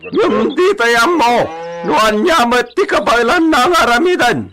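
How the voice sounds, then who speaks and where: A man's voice: extremely high pitch, lively intonation, normal speed, a loud crowd. An actor playing a sly, menacing bandit boss in a radio drama, drawling his orders and cackling gleefully. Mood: scheming. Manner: drawling commands with frequent cackling.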